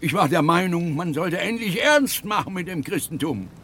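An actor playing a zealous old heretical pastor in a German radio play, speaking with preacherly emphasis. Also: male voice, sits high, animated, moderate pace, pushed and bright, husky, full character voice. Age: older.

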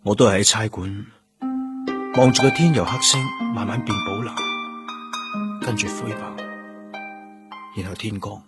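An actor playing a methodical, brooding police detective, a man with a Hong Kong Cantonese accent, authoritative and measured, in a radio drama. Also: fairly low pitch, over loud music.

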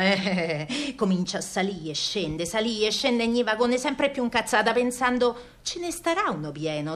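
A woman's voice: medium pitch, fairly bright tone, lightly acted. An actress playing a feisty working-class woman of wartime Rome, telling her story in an earthy, colloquial Roman accent, as in a radio drama. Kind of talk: dramatic first-person radio monologue in dialect.

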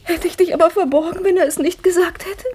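Fatime, a clever, playful wife. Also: female voice, extremely high pitch, fairly even intonation, fast talker, darkish tone. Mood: intimate. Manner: playful, earnest and intimate. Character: Fatime, a clever, devout, businesslike young wife. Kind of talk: 1950s radio drama acting.

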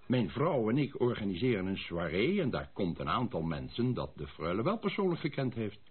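An actor playing a genial, obliging small-town gentleman who gives discreet advice in a radio play. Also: lowish voice, a little fast, slightly rough. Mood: advising, hospitable. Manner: animated, advising. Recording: tinny, narrow old radio play recording.

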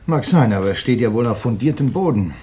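An actor playing an inquisitive, persistent crime writer in a radio drama, brisk and questioning. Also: male voice, medium pitch, animated, warm tone, slight background noise, natural voice. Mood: restless. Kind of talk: German radio drama acting, conversational.

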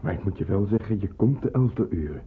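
Grave, husky resistance man, lo-fi. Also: on the low side, lively intonation, full character voice. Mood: grave, relieved. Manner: deliberate, instructing. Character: grave, careful man secretly arranging wartime hiding. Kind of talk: Dutch radio play acting. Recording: muffled, phone-like old radio recording.

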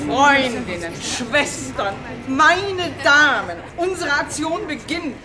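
Society matron, high voice, bossy, declamatory, indignant, radio satire, crowd behind. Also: female voice, big pitch swings, takes it slowly, heavily acted. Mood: commanding, excited. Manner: commanding, fussy, declamatory. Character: bossy, indignant society lady leading women's club. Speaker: actor in a radio crime satire.

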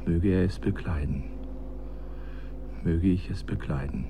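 An actor in a radio drama playing a humble, fearful court chronicler who recites gravely and deliberately. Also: male voice, deep voice, background noise. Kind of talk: German radio drama acting.

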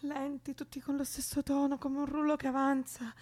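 A woman's voice: high-pitched, flat delivery, moderate pace, darkish tone, lightly acted. An actress playing a sleepless, troubled young woman in a radio drama, terse and contrary in her way of talking. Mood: anguished. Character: anguished, sleepless young woman haunted by heavy thoughts. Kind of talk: Italian radio drama acting.

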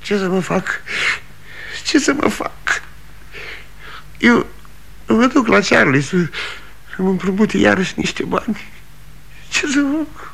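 An old travelling salesman at the end of his rope in a vintage radio play. His high voice swings widely as he pleads, insists and repeats himself, proud and desperate at once. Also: lively intonation, vocal fry, long pauses, fairly bright tone, heavily acted. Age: older. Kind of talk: 1960 Romanian radio theatre acting. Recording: vintage 1960 radio studio recording.

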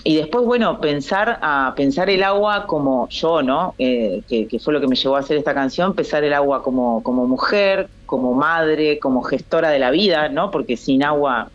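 A woman from the south of Córdoba province who talks with a Santa Fe accent. Her voice sits low, and she chats easily, doubling back over her words with fillers. The sound is dull and lo-fi. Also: normal speed, hardly any pauses. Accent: Santa Fe Argentine Spanish. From Córdoba province, Argentina, near Santa Fe. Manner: chatty, hesitant, many restarts and fillers. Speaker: singer-songwriter guest. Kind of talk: phone interview, casual chat.

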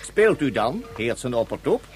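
An actor playing a pompous ceremonial game master in a radio play, with formal, theatrical proclamations. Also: male voice, mid-range voice, big pitch swings, normal speed, a little mellow, natural voice. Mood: stern, impatient. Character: pompous ceremonial game master addressing a ruling card champion.

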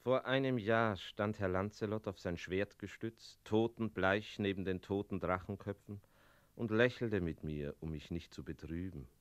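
An actor playing a wistful talking tomcat, narrating a radio play in a measured, musing way. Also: male voice, on the low side, clear, resonant tone, no room sound, lightly acted. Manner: measured, musing, punctuated with meows.

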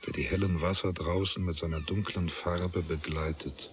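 A man narrating a literary radio drama in a deliberate, scripted manner. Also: low-pitched, fairly even intonation, slight background noise.

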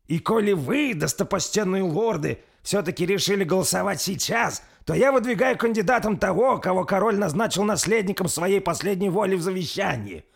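A man voicing a defiant, scheming lord who speaks loudly and taunts his rival. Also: high-pitched, expressive, a little fast, gravelly, hardly any pauses, husky, voice pushed up, heavily acted. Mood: solemn. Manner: loud and taunting.

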